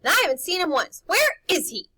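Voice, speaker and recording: A woman's voice: very high-pitched, big pitch swings, brisk, bright, forceful tone, dry recording, voice pushed up, full character voice. A woman voicing a bold, curious young boy in a storybook, with insistent, challenging questions.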